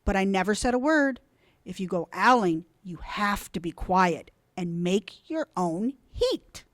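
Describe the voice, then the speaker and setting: A woman reading a children's storybook aloud in an expressive, deliberate, dramatic way. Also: mid-range voice, no room sound, studio quality. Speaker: storybook reader.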